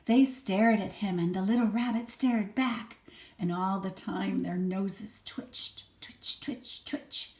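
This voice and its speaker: An older woman, a grandmother, reading a children's story aloud and adding gentle, chatty asides for a small child. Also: medium pitch, slow.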